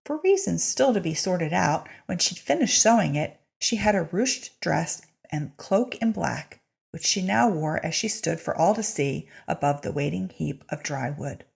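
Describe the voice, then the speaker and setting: A woman giving an expressive, wry scripted narration of a story in a husky, creaky voice. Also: lowish voice, a little slow. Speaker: audiobook-style reader of a short story.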